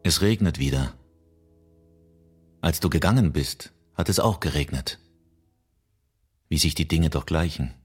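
Very deep, husky, melancholy man. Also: measured pace, pauses often, soft background music, exaggerated voice. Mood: wistful, resigned. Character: patient, melancholy man who waits for a lost love. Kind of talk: poetic radio-play monologue.

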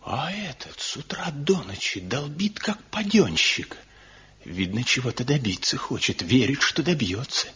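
A man's voice: medium pitch, big pitch swings, unhurried, fairly bright tone, breathy edge, full character voice. An actor playing a tormented, brooding master craftsman in despair, with an anguished, bitter, rhetorical delivery in a Soviet radio drama. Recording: dull lo-fi archival 1970 radio recording.

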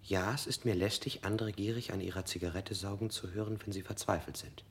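An actor playing an anxious male suspect in a radio play, narrating in a measured, introspective way. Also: lowish voice, steady tone, natural voice. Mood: uneasy. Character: anxious, guilt-ridden male suspect under interrogation. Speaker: first-person narrator.

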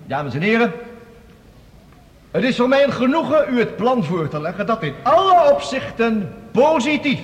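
High, sweeping, oratorical professor. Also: male voice, very animated, moderate pace. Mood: solemn, oratorical. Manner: solemn, rhetorical, declamatory. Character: eminent, grandiose male professor delivering an oratorical address. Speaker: actor. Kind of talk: Dutch radio play acting, oratory. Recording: echoing hall, background noise.

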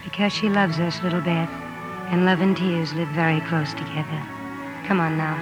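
A woman's voice: high-pitched, expressive, normal speed, over music, low-quality recording. An actress playing a spirited, impulsive tomboy young woman in a period drama, hearty, eager and theatrical. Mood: tender. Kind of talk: period radio drama acting with narration.

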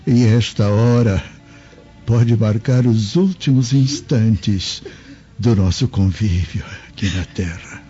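Slow, solemn elderly uncle. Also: male voice, on the low side, warm tone. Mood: solemn, tender. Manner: slow, solemn, measured preaching delivery. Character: gentle, devout, steadfast elderly Samaritan uncle. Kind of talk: Brazilian radio drama acting. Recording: dull lo-fi audio, music behind.

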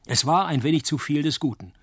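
Wry, deadpan private eye, lightish voice. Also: male voice, fairly high pitch, fairly quick, husky, no room sound. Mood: dry, laconic. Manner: dry, laconic, deadpan wit. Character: wry, deadpan hard-drinking male private detective. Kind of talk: radio play dialogue and first-person noir narration.

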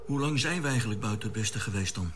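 Man acting a naive, excitable spaceship crewman. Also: fairly low pitch, fast talker, close to an everyday voice. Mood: curious. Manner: exclaiming, wondering, questioning. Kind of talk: radio drama acting, science fiction serial.

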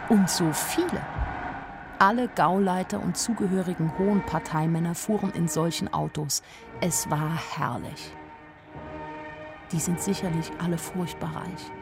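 An actress playing a naive, sharp-eyed young woman who tells her own story in a radio play, artless and plain-spoken. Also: lowish voice, a little slow, over music. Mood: awed. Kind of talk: first-person radio play narration.

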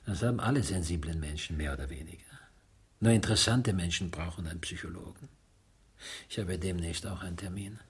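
An actor playing a world-weary middle-aged widower in a radio drama, husky, blunt and sardonic, suspicious yet gruffly consoling. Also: male voice, low-pitched, fairly quick. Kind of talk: German radio drama acting, naturalistic dialogue.

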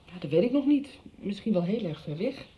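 A woman's voice: medium pitch, expressive, fairly quick, lightly acted. An actress playing a hesitant, conciliatory woman in a radio soap. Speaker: actor. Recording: slightly dull radio recording.

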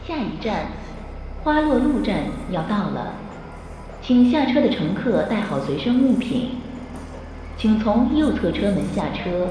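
A woman playing a calm, polite subway announcer, giving formal public announcements with a PA echo. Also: medium pitch, measured pace. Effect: public-address echo. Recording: echoey hall, long reverb, faint noise.

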